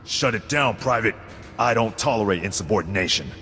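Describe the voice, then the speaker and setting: A gruff, disciplined sci-fi sergeant. He is low-pitched, blunt and clipped, a stern military type, heard in long reverb with faint music. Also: expressive, brisk, pushed and bright. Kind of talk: scripted video game acting.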